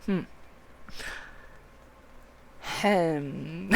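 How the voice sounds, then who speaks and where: A woman's voice: fairly low pitch, extremely slow, creaky, darkish tone. A woman game streamer giving casual live gameplay commentary in a chatty, self-deprecating way.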